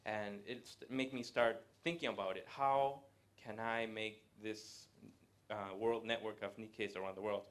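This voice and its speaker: Man giving a low-pitched talk. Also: steady tone. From Latin America. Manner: conversational, pauses often with hesitation sounds. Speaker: guest speaker. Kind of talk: live presentation to an audience. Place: event room with a live audience.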